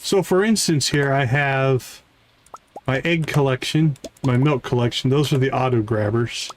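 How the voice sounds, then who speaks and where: Male game streamer, mid-pitched, informal explainer thinking aloud, faint noise behind. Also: a little slow. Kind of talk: casual live gameplay commentary, explaining as they play.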